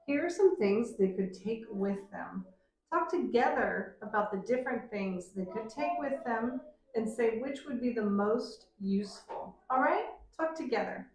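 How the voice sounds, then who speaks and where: A woman acting as an English exam examiner, asking clear, deliberate questions to students. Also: on the high side, expressive, darkish tone. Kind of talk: mock speaking exam questions. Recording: echoey room, mic at a distance.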